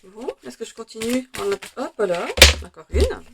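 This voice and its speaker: A French woman with a slightly hoarse voice, chatting through a tarot card reading with many hesitations and fillers. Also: on the high side, animated, measured pace, heavy background noise. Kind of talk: casual weekly tarot reading.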